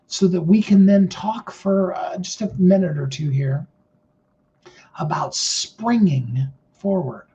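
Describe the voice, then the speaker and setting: A middle-aged man speaks in a naturally high voice, earnest and rambling, piling up fillers as he circles his point. His tone is soft and mellow. The recording sounds muffled and lo-fi. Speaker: motivational speaker. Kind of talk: casual motivational talk.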